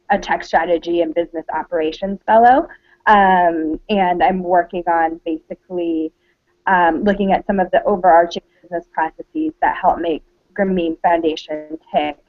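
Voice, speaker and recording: A woman's voice: lowish voice, dry recording. Woman on a tinny call line. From Michigan, USA. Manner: halting, uneven pace. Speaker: fellow. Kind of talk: self-introduction on a video call. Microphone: video call.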